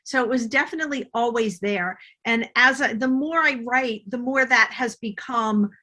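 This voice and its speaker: A woman's voice: a little high, moderate pace, pushed and bright. A middle-aged woman answering interview questions as a guest author, explaining herself in a reflective, conversational way.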